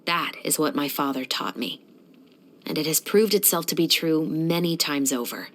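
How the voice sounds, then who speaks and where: A woman's voice: deep voice, moderate pace, natural voice. An actress playing a stern, dutiful young female patrol captain in a video game, speaking in a composed, authoritative and earnest manner. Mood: grave, earnest. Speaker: video game character voice actor. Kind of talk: scripted video game character voice lines.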